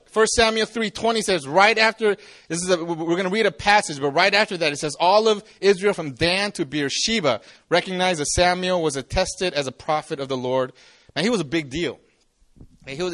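Chatty high-voiced pastor in his forties. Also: a bit bright. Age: 40s. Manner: chatty, jokey, restarts often. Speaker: campus pastor. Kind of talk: casual youth sermon.

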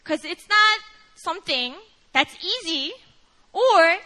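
A young woman from Korea preaches in English with a clear Korean accent. Her voice is high, bright and ringing, and she talks earnestly, filling her sentences with "like" and "you know." The delivery is lively and personal, like a sermon built around her own story. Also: slow pace.

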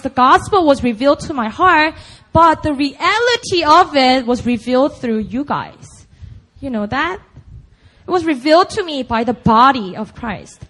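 A woman's voice: sits high, a bit bright. A young woman from Busan, a native Korean speaker with a Korean accent, giving a chatty, emphatic sermon full of "like" and "right?". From Busan, South Korea. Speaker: preacher. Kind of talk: conversational sermon.

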